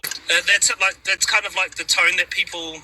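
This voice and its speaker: Kiwi-accented man, relaxed and flat-toned, talking on a call from his car, pitched fairly high, background noise. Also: normal speed. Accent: New Zealand. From New Zealand. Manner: laid-back, a bit monotone. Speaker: group chat member. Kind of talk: casual group call. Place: in a car, driving.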